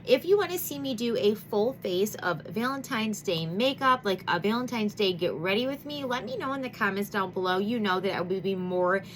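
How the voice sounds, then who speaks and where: A congested, nasal-sounding woman in her thirties gushes and rambles through a chatty beauty vlog intro. Her voice is bright and forward. A faint low rumble sits under the recording. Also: animated, moderate pace, hardly any pauses. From Michigan. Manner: bubbly, gushing, rambling with many fillers. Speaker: beauty vlogger.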